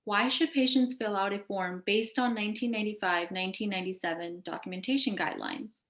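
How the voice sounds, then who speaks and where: A woman's voice: medium pitch, fairly even intonation, a little fast, fairly bright tone. A woman hosting a panel, reading out scripted interview questions. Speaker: host asking questions of a panel. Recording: roomy, echoing sound.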